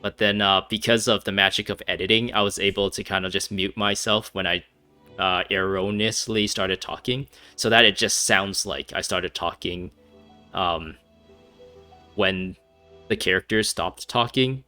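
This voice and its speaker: A young man commentates casually on a game. His voice is fairly low but forward and bright, recorded dry over faint music. He rambles and hesitates in an easygoing, chuckly way. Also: fairly even intonation, measured pace, smooth, dry recording. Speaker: gaming YouTuber. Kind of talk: casual let's-play commentary.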